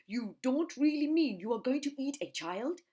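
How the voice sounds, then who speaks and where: A woman's voice: high-pitched, expressive, moderate pace, warm tone, voice pushed up. A woman voicing a blustery storybook hippopotamus, exclaiming and scolding in horrified disapproval. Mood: aghast.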